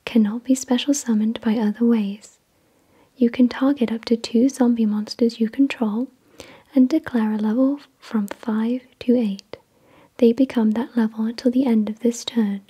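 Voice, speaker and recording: A woman whispering in a breathy, unvoiced voice, reading in a gentle, measured ASMR style. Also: fairly high pitch, steady tone. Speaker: ASMR host. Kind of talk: whispered ASMR card unboxing, scripted reading.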